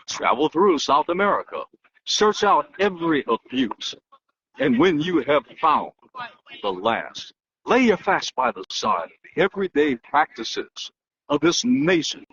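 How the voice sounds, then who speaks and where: A man giving an emphatic, declamatory dramatic reading in the manner of a public orator, with weighty pauses and a husky voice. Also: fairly high pitch, a bit bright. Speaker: political analyst and host. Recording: dull, lo-fi muffled sound.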